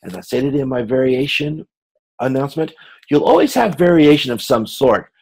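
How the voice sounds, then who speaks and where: A man with a medium-pitched voice delivers an informal lecture. He explains as a course instructor would, often doubling back to repeat or reword a phrase before carrying on. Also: expressive, vocal fry, darkish tone, breathy edge. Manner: halting, repeats and rephrases himself as he explains.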